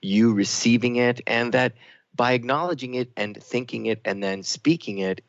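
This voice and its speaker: A man's voice: mid-range voice, normal speed, dry recording. A man co-hosting a reflective talk radio show, speaking in an affirming, expansive, emphatic way. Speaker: radio co-host. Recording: thin phone-like sound, very dry.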